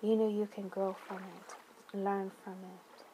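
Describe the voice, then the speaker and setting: A woman leading a guided meditation, speaking gently in deliberate phrases with long pauses and soothing affirmations. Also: mid-range voice, flat delivery, noisy background.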